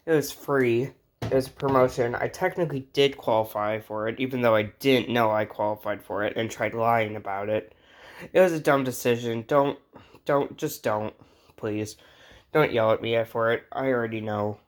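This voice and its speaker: Man, mid-pitched voice, casual vlog host, informal, rambling, show-and-tell delivery. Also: moderate pace. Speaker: host showing a radio collection.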